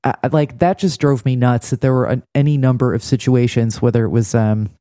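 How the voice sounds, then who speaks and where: A man's voice: mid-range voice, fairly even intonation, fairly quick, warm tone, dry recording, clean studio sound. A man co-hosting a casual TV recap, with a critical, wry, digressive way of talking. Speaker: podcast co-host.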